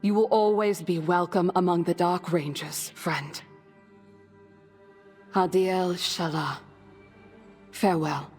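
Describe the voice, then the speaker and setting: An actress playing a dignified undead elf woman ranger in a video game cutscene, breathy, formal, with an otherworldly reverb. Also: fairly low pitch, fairly even intonation, a little mellow. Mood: solemn, grateful. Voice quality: breathy, husky. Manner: measured, formal. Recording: long hall-like reverb, faint music.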